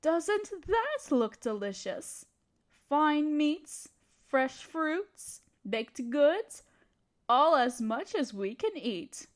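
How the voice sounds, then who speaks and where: Evil queen for fantasy audio drama, female performer: high-pitched, haughty and conniving, grand theatrical delivery, talks down to everyone. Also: sing-song, very slow, heavily acted. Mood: eager, indulgent. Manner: imperious, theatrical, condescending. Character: scheming evil queen, haughty tyrant gloating to her advisor. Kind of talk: scripted roleplay audio drama monologue.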